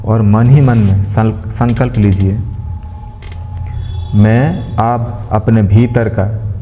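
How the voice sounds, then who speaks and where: Man, meditation guide, gentle instruction, slow delivery with pauses, soft mellow tone, long hall reverb. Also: on the low side, fairly even intonation, a little hiss or hum. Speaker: meditation guide, yoga teacher. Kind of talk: guided meditation with mantra chanting.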